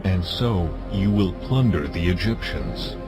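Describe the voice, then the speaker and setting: Casting: commanding deity for audio scripture drama, low-pitched, grave, measured, strong music underneath. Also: male voice, animated. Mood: solemn, commanding. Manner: solemn, deliberate, authoritative. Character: God, commanding solemn male divine voice.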